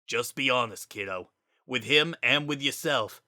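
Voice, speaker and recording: A bright, clear-cut voice for a wisecracking skeleton acted by a man. He talks in casual slang, teasing but fond, like an easygoing joker in a dramatized fantasy story. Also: mid-range voice, unhurried, no echo, studio quality. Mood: teasing, reassuring. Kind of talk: dramatic reading of fan fiction.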